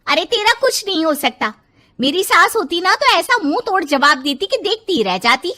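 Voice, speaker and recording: Outspoken young cartoon friend played by a woman, piercingly high, animated, blunt. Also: moderate pace, full character voice. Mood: indignant. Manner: blunt, exclamatory.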